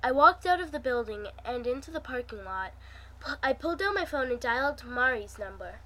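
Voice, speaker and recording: A woman's voice: fairly high pitch, expressive, fairly quick, sharp tone, rough recording. A woman hosting her own channel, chatting informally and reading a fan story aloud in an amateur, casual way.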